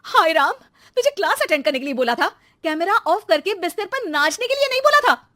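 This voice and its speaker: Woman acting a shrill, exasperated scolding mother. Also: sing-song, brisk, exaggerated voice. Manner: shrill shouting, sarcastic nagging. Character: exasperated, scolding Indian mother and housewife, sarcastic. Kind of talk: animated cartoon story voice acting.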